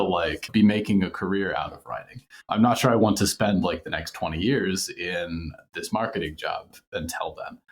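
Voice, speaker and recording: A man with a fairly low voice answers interview questions in a conversational way. He sounds reflective as he explains himself, leaning often on "like" and "sort of". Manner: reflective, peppers speech with "like" and "sort of". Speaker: podcast creator being interviewed.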